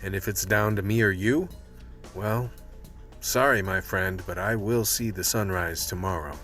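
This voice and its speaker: A man's voice: low voice, a little slow, fairly bright tone, soft background music. A man playing a world-weary, tense vice cop who narrates a radio play in a hard-boiled manner. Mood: grim resolve.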